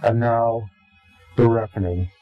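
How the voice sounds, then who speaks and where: A man giving interview testimony in a solemn, halting and deliberate way, his voice sounding slightly unnatural, as if processed. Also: mid-range voice, steady tone, muffled recording, faint music behind. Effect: voice changer removed, slightly unnatural sound. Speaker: anonymous interviewee.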